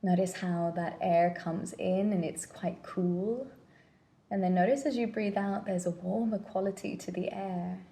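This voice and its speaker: Woman doing guided meditation, really slow and soft-edged mellow voice, gentle, lots of pauses. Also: mid-range voice, steady tone, vocal fry. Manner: gentle, unhurried, pausing between phrases.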